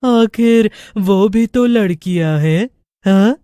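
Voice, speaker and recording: A woman voicing a mocking, menacing female witch ghost, slow and low in a taunting snarl. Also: mellow, smooth, no echo, studio quality, natural voice.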